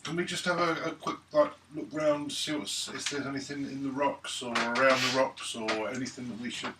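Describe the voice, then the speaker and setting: A man playing a tabletop role-playing game, talking casually and haltingly as he plans cautious moves aloud. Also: mid-range voice, fairly even intonation. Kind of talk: casual chat during play. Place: indoor room at a gaming table. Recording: echoey room, distant mic, faint noise.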